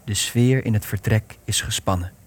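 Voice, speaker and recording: An actor as a sober narrator, reading stage directions for a Dutch radio play in a measured, formal way. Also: male voice, on the low side, natural voice. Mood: neutral.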